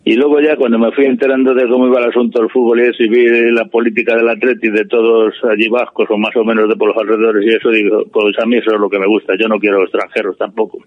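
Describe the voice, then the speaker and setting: A man's voice: fairly low pitch, flat delivery, fast, few pauses, no room sound, phone-quality sound. A man, a supporters' club president talking bluntly and plainly in a radio interview. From Madrid, Spain. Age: 80s. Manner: blunt, plain-spoken, opinionated.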